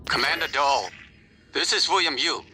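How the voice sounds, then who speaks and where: A man's voice: fairly high pitch, expressive, moderate pace, bright, forceful tone, soft background music, low-quality recording. An actor playing a cold, ruthless corporate chairman who speaks in a formal, clipped, authoritative way. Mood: cold, commanding.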